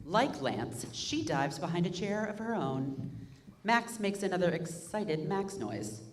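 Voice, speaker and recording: A young woman reading stage directions aloud in a staged musical reading, in a brisk, expressive way. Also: fairly low pitch, noisy background.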